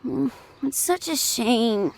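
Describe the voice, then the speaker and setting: A woman voicing an earnest, excitable little boy in a video game. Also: a little high, takes it slowly, vocal fry, a little hiss or hum, full character voice. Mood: grateful, wistful.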